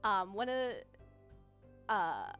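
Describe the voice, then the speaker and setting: A woman's voice: mid-range voice, very animated, very slow, fairly bright tone. Young woman, streamer, casual chat, giggly, rambling, faint music behind. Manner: giggly, rambling, many fillers. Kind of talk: casual livestream chat, reading chat. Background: faint background music.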